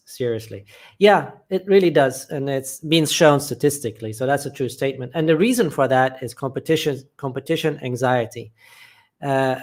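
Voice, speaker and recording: A man from England hosting a live chat, reading viewer comments aloud and answering them with blunt, matter-of-fact opinions. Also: mid-range voice. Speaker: channel host.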